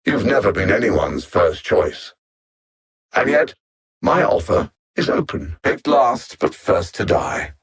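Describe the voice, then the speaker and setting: An imperious artificial alien mind bent on conquest in a video game. The voice is gravelly and husky, swinging widely in pitch. It speaks with cold mockery, erudite and grandly condescending. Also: male voice, very animated, slow pace, exaggerated voice. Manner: haughty, erudite, condescending, theatrical. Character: arrogant, coldly mocking alien artificial-intelligence conqueror. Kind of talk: video game villain voice lines.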